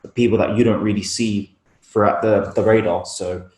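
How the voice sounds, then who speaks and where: Low, even-toned London man, echoey room. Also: normal speed. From East London, UK. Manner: informal, slangy. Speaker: guest photographer. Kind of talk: casual interview talk about own work. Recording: roomy, echoey room sound.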